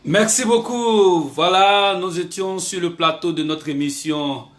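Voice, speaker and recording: A fairly high male voice with an Ivorian accent, presenting a live show. He is emphatic and repeats himself, pausing often to find the next word. The room rings with echo around him. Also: a bit bright. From Ivory Coast. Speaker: web radio-television host. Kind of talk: live show sign-off with blessings and announcements. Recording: echoey room.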